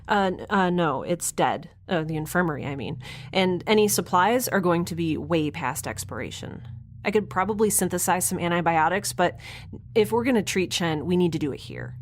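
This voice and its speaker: Female, actress as offbeat young spacefarer, slightly low voice, informal and wry, faint noise behind. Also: lively intonation, normal speed, close to an everyday voice. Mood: anxious, focused. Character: casual, quirky young woman scientist in space. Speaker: actor in audio drama. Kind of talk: science fiction audio drama acting.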